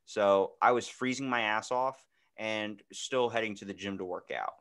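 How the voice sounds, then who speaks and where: A man in his twenties chatting casually as a podcast host, telling stories in an animated, slangy way with frequent swearing. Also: fairly low pitch, moderate pace, clear, resonant tone. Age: 20s. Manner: animated, profane, slangy storyteller. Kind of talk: casual chat, storytelling. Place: indoor room, podcast video call.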